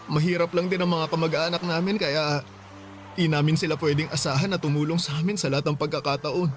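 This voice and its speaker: An actor playing a gentle, self-sacrificing young student boyfriend, earnest and sorrowful, in a Tagalog radio drama. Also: male voice, on the high side, steady tone, quick pace, faint music behind, natural voice. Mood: sad, resigned. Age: young adult. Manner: soft-hearted, earnest, sorrowful.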